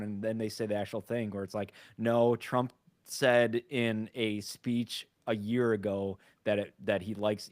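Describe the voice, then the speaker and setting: A man co-hosting a podcast, telling stories in an animated way with lots of "like". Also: mid-range voice, dry recording, studio quality. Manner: animated storytelling, full of "like". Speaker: podcast co-host. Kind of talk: casual panel chat.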